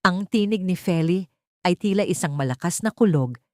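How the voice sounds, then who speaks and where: A woman's voice: on the low side, lively intonation, normal speed, no room sound, studio quality. A woman reading a scripted dramatic love story as its narrator.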